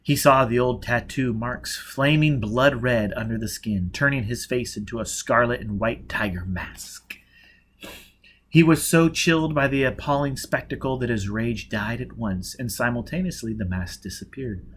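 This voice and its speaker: A man narrating a science fiction novel as an audiobook in a steady, even way. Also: mid-range voice, normal speed. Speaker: audiobook reader.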